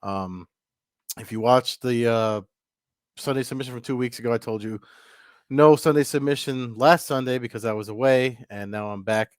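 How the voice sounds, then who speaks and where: A man's voice: medium pitch, normal speed, clean tone, no room sound, clean studio sound. A man hosting a casual sports news video, slightly congested from a cold and rambling with lots of fillers. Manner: rambling, many fillers and restarts. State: congested, getting over a cold. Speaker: MMA news host. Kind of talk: casual solo commentary to camera. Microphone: camera mic.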